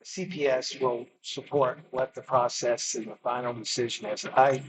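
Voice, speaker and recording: A man speaking for a utility at a public meeting, defensive and halting, often repeating his words. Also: medium pitch, fairly even intonation, noisy background. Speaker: utility representative.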